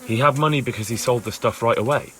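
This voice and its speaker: A man's voice: medium pitch, quick pace, sharp tone, a little hiss or hum, close to an everyday voice. An actor playing a determined young man in a video game, pressing people with matter-of-fact questions. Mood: earnest, probing. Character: determined young man searching for a missing villager. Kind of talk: video game cutscene acting.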